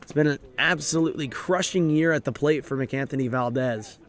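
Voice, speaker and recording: A man calling a college baseball game play by play, his voice raspy and hoarse from overuse, steady and informative, and lifting with the action on the field. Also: medium pitch, brisk. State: hoarse, voice drained. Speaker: play-by-play announcer. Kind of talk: live baseball play-by-play commentary.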